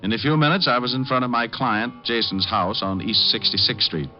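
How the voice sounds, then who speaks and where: An actor playing a breezy, cocky private detective who teases and narrates wryly in an old-time radio drama. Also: male voice, mid-range voice, animated, fairly quick, soft background music, natural voice. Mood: wry narration. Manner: teasing, flippant, wry. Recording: muffled vintage radio recording.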